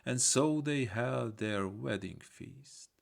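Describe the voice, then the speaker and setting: A male storyteller with a heavily creaky, gravelly voice narrates an old folktale. He reads at an unhurried, slightly slow pace, in a measured and simple storybook manner. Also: medium pitch.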